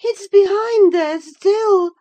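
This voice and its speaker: A woman voicing a feverish, frightened young lady, high-strung, anxious and wilful, in a dramatic storybook reading. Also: slow pace, mellow. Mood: terrified.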